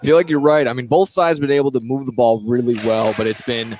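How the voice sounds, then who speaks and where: A man giving casual, conversational color commentary at a live basketball game, with halting phrasing. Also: medium pitch, steady tone. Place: indoor high school basketball gym, courtside. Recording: live gym broadcast, crowd noise behind. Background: clear crowd noise.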